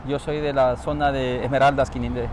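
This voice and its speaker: A man from Ecuador with an Ecuadorian accent, calmly explaining his experience in a street interview, often trailing off softly. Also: mid-range voice, a little fast. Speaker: interviewee, newly arrived asylum seeker. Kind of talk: casual interview answers. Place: outdoors on a city street. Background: faint traffic noise.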